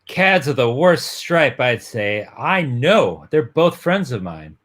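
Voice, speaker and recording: A man's voice: mid-range voice, sing-song, takes it slowly, fairly bright tone, heavily acted. A man acting a devoted, earnest young boyfriend who woos his girl in an amateur dramatic reading of a romance comic. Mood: romantic.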